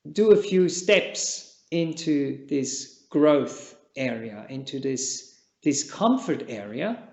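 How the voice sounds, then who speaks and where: Slow, gravelly-voiced Swiss meditation teacher. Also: male voice, medium pitch. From Switzerland. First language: Czech. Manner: slow, gentle and halting, with long pauses. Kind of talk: unscripted dharma talk at a retreat. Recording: echoey room, dull lo-fi sound.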